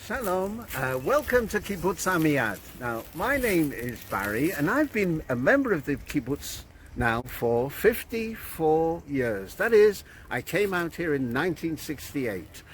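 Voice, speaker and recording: An older British man from Manchester, in his seventies, talks through history in a slow, unhurried, measured way, like a guide telling a story. His voice sits a little high for a man, and faint outdoor ambience hangs behind him. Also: big pitch swings, slight background noise. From England. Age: 70s. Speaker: kibbutz member giving a guided talk. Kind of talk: unscripted guided explanation to camera. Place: outdoors on a kibbutz.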